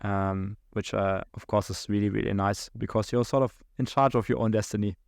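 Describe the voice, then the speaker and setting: Young German-accented man, podcast guest; gravelly, monotone, halting and filler-heavy. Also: fairly low pitch, no echo, studio quality. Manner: halting, many restarts and fillers, laughs between thoughts. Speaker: guest, account executive. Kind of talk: podcast interview.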